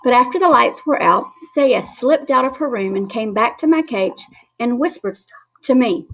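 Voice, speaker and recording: High-voiced woman reading a children's story. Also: normal speed. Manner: animated, acts out character lines. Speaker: storybook reader. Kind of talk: expressive children's story read-aloud. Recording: dull, lo-fi sound.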